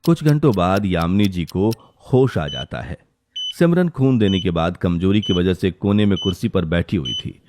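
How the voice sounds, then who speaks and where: A man's voice: sits low, animated, normal speed, background noise. A man delivering scripted dramatic narration for a story.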